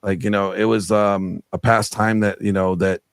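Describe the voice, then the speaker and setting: Guest, middle-aged man, lowish voice, earnest and rambling, dry video-call sound. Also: level tone, normal speed, creaky, clean tone, no echo. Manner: earnest, rambling with many fillers. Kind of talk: casual interview chat.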